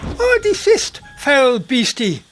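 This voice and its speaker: A man voicing a pompous hero who shouts a theatrical command. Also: extremely high pitch, wildly swooping pitch, takes it slowly, a little hiss or hum, pitched above the performer's own voice, heavily acted. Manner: theatrical, mock-grand.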